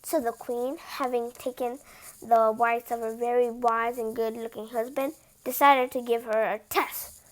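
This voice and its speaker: A woman reading a picture book aloud, slightly halting, with casual, chatty asides. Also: on the high side, flat delivery, low-quality recording. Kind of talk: animated storybook read-aloud with casual asides.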